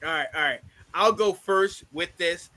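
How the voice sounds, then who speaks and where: A man hosting a casual football podcast, stuffed up, energetic and laughing often. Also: high voice, normal speed, crowd noise. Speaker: podcast host. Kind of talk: casual sports podcast chat.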